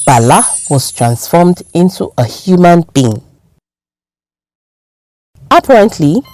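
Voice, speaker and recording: A woman from Nigeria narrating a folktale in a scripted, expressive storytelling manner. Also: on the low side, low-quality recording.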